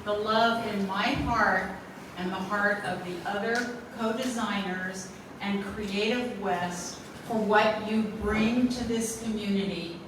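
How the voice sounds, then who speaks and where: A woman's voice: medium pitch, steady tone, takes it slowly, background noise. A woman giving heartfelt, loosely rambling remarks of thanks to artists as a program organizer. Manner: warm-hearted, rambling, grateful. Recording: echoey hall, distant mic.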